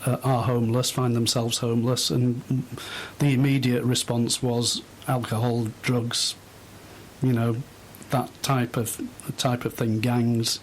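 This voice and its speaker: A middle-aged man giving thoughtful, halting answers as a guest in an unscripted radio interview. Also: medium pitch, little pitch movement, slight background noise, poor audio. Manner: hesitant, thoughtful, halting phrases. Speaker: interview guest, volunteer host.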